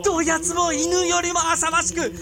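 A man's voice: very high-pitched, monotone, normal speed, over a noisy crowd. A male actor playing a deranged, decadent warlord-monk who revels in destruction with theatrical, gloating archaic speech in a period audio drama. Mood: manic glee.

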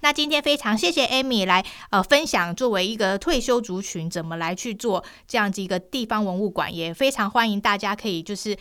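A woman's voice: medium pitch, a little fast, hardly any pauses, fairly bright tone, clear, resonant tone. Female radio host, Taiwanese Mandarin accent, mid-range voice, presenting local culture and travel.

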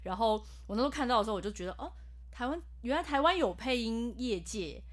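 A woman voice actor, explaining her work in a casual podcast chat. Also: on the high side. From Taiwan. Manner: fast, explanatory and animated.

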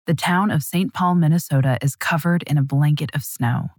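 A woman's voice: deep voice, normal speed, a little mellow, no room sound, studio quality. A woman hosting a true crime podcast, reading a scripted, dramatic story.

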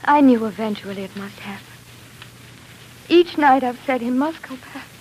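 A woman's voice: a little high, expressive, moderate pace, darkish tone, slight background noise, natural voice, rough recording. An actress playing a devoted, wistful young woman in love, speaking earnestly in 1940s radio drama style. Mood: tender, sad. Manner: earnest, romantic delivery.

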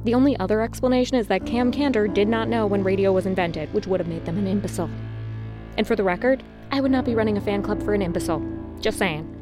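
An actress playing a blunt, opinionated fan club head being interviewed, wry, emphatic and self-assured. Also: female voice, mid-range voice, fast, over music, natural voice. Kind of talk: conversational interview in audio drama.

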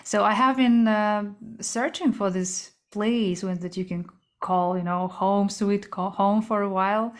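A woman from Kazakhstan speaks as an interview guest, with an ordinary middle pitch. She talks in a halting way, often stopping to search for words, and laughs lightly between thoughts. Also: steady tone. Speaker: guest, entrepreneur. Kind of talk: casual interview answers.

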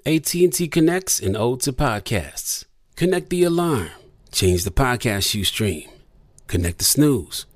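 A man's voice: mid-range voice, very animated, unhurried, no echo, clean studio sound. Rhythmic poetic male ad narrator. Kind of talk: rhyming scripted ad read.

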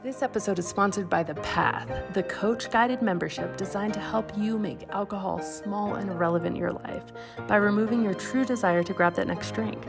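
A woman host reading a scripted podcast introduction and sponsor message in a smooth delivery. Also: medium pitch, moderate pace. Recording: music bed under voice.

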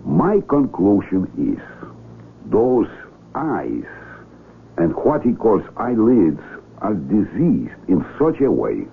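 A man acting in a 1970s radio drama as a callously confident village doctor, deliberate, clinical and emphatic. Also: lowish voice, huge pitch swings, gravelly, a little hiss or hum, exaggerated voice. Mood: self-assured. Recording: muffled vintage AM radio recording.

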